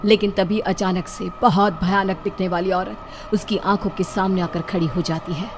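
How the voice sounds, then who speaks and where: A woman's voice: medium pitch, steady tone, moderate pace, noisy background. A woman narrator delivering a scripted horror story.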